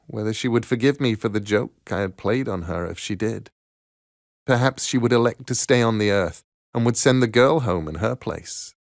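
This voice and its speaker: A man reading a short story aloud in a measured, expressive storytelling manner. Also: mid-range voice, gravelly, dry recording, studio quality. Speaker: storyteller, narrator.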